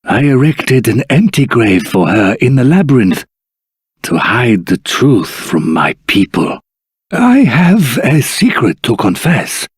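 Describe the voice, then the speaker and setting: A very raspy old grandfather storyteller. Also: mid-range voice, wildly swooping pitch, no room sound, studio quality, heavily acted. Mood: mournful, confessional. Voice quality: husky, raspy. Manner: formal, measured, dramatic pauses. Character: doddering old grandfather of a dragon-folk race, solemn storyteller. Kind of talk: theatrical storytelling for a fantasy video game.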